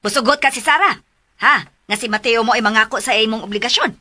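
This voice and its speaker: A woman's voice: on the high side, fast talker, sharp tone. A woman playing an exasperated middle-aged mother who scolds and nags her grown sons emphatically in a radio drama. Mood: angry. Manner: emphatic, nagging, sighing interjections.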